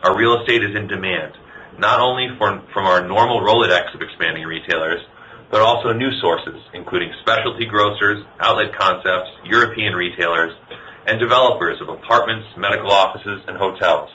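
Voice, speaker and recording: A man's voice: low-pitched, a little fast, pushed and bright. A man speaking as a company executive, formally reading scripted remarks for a business earnings call. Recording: dull lo-fi conference call, faint noise.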